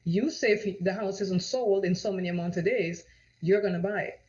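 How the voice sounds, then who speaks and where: Jamaican woman giving a persuasive sales pitch. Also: normal speed, a little mellow. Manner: persuasive, rhetorical questions, repeats "right?". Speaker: real estate agent. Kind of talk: direct-to-camera sales pitch. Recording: dull lo-fi audio.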